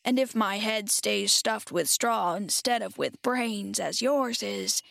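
A woman voicing a friendly, simple stuffed scarecrow man from a storybook, in a husky voice with slow, polite, drawn-out speech. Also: medium pitch, fairly bright tone, no room sound, studio quality, full character voice. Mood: earnest, confiding.

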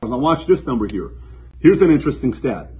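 A man presenting a business explainer in an energetic, emphatic way, heard from a clip played back through speakers. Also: mid-range voice, moderate pace. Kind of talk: explainer narration.

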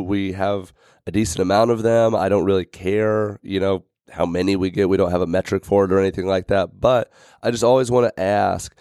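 Male podcast host, thirties, slightly raspy, chatty and rambling, dry close mic. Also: lowish voice, darkish tone, no echo. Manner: rambling, many fillers and restarts. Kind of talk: casual podcast monologue.

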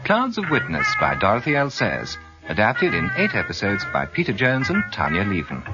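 Low British announcer over loud music. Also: male voice, huge pitch swings, moderate pace. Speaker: announcer, narrator. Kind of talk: scripted radio drama narration. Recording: slightly dull radio recording, loud music. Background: loud theme music.

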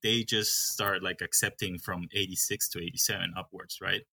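A man's voice: deep voice, fairly even intonation, moderate pace, vocal fry, sharp tone. A young man from Peru who manages a coffee farm, talking in a casual interview and often stopping to restart his sentences. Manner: hesitant, with many restarts.

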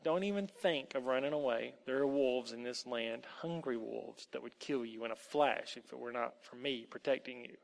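Storybook villain: nasty old male elf, played by a man, gravelly, sneering, in long reverb. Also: mid-range voice, moderate pace, hardly any pauses, close to an everyday voice. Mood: bossy. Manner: mocking, sneering, bossy.

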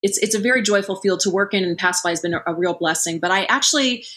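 Woman, forties, podcast guest, bright and sharp tone, chatty with lots of restarts. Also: medium pitch, fast. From Columbus, Ohio. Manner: chatty, frequent restarts and fillers. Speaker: guest, lactation company founder. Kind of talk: conversational podcast interview.

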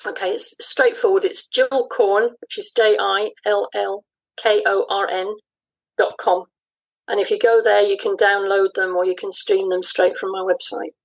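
Mid-pitched woman in an informal interview. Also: steady tone, moderate pace, low-quality recording. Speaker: interview guest, audio drama writer.

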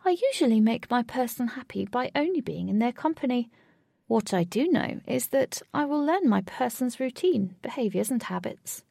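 A woman reads as a giant fat storybook cat, sweet and endearing. The voice is lifted and soft-toned, with a little creak in it. It sounds lonely, earnest and very affectionate. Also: on the high side, very animated, moderate pace, no echo, studio quality, voice pushed up, full character voice. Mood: devoted. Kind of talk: storybook character voice.

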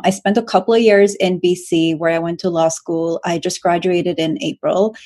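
A woman in her twenties from Norway co-hosting a podcast, explaining things in a steady way. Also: lowish voice. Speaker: podcast co-host. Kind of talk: podcast introduction.

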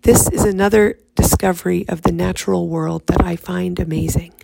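Hoarse woman with a gravelly, fried voice. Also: on the low side, steady tone, slight background noise, poor audio. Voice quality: raspy, fried voice. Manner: soft, unhurried speaking. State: hoarse from laryngitis. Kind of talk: reading her own poem aloud.

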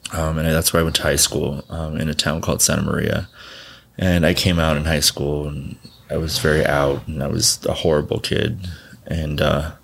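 A man with a very low voice and plenty of gravelly fry speaks as an interview guest. He comes from Southern California and tells his story bluntly, with casual profanity. He laughs often as he talks. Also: level tone, moderate pace. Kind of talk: candid personal storytelling.

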